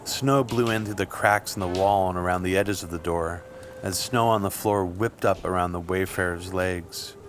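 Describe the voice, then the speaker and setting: A man reading scripted literary fiction as an audiobook narrator, with measured, deliberate phrasing. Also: deep voice, a little hiss or hum. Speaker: audiobook reader. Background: music.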